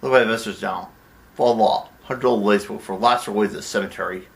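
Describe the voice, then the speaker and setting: A man's voice: on the low side, a little fast, gravelly. A man playing a brash, bullying theatrical producer in a comedy, blustering and commanding in a theatrical manner.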